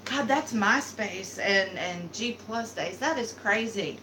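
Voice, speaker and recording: A woman's voice: medium pitch, animated, takes it slowly, noisy background. Chatty woman with a light Southern accent. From American South. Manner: chatty, rambling, lots of "like". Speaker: beauty vlogger hosting a live stream. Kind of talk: casual live-stream chat reading comments.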